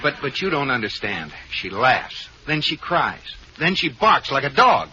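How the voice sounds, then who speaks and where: An actor playing a worried, stammering banker husband in a 1940s radio comedy. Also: male voice, mid-range voice, very animated, unhurried. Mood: flustered. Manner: stammering, flustered. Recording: dull, noisy old radio broadcast with audience.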